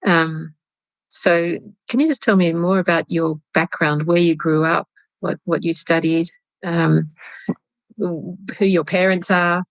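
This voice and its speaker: A woman's voice: fairly low pitch, fairly even intonation, slow pace, no room sound, poor audio. A woman hosting an interview, asking questions and murmuring agreement as her guest speaks. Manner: supportive, frequent backchannel murmurs. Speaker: podcast host, interviewer. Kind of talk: conversational interview.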